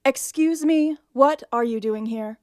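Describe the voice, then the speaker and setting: Woman playing a haughty, high-voiced dance mistress. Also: unhurried, no echo, clean studio sound, natural voice. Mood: stern disapproval. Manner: curt, disapproving, imperious. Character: stern, haughty swan-maiden dance teacher. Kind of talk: audio drama acting.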